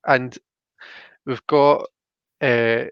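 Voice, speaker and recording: Gravelly Scottish man, casual and thoughtful. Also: medium pitch, steady tone, extremely slow, no echo, muffled recording. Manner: thoughtful, often checks with "you know" and "do you know what I mean". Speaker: host and tarot card reader. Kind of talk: casual, conversational tarot reading.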